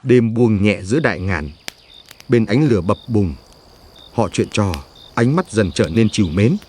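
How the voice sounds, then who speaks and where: A man reading a scripted legend as a storyteller, in a solemn, measured manner. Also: lowish voice, animated, a little mellow, a little hiss or hum. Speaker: narrator.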